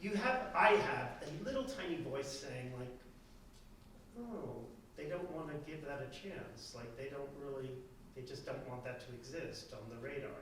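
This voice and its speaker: A man's voice: mid-range voice, lively intonation, normal speed, fairly bright tone, slight background noise. A male art history professor talking casually, rambling and restarting his sentences as he answers an audience comment. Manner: rambling, self-interrupting, chatty. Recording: echoey hall, speaker far from the mic.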